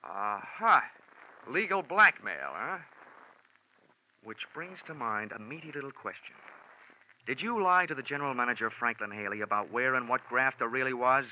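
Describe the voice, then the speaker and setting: A man playing a hard-boiled, sardonic American insurance investigator, narrating his case deadpan in 1950s radio drama style. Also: medium pitch, moderate pace, a little hiss or hum, close to an everyday voice. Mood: wry, pressing. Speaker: lead actor and narrator. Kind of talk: 1950s radio detective acting. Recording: dull vintage radio recording with music.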